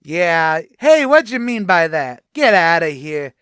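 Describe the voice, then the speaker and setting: A man's voice: a little high, very animated, slow pace, fairly bright tone, clean tone, no echo, studio quality, heavily acted. A man playing a wisecracking tavern manager in an old-time radio comedy, talking in brash, ungrammatical tough-guy patter. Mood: bossy. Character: wisecracking middle-aged male tavern manager.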